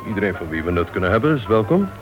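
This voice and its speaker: An actor playing a chatty, genial club member in a Dutch radio play. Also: male voice, on the low side, sing-song, racing, soft background music. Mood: genial, teasing. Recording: dull old radio recording.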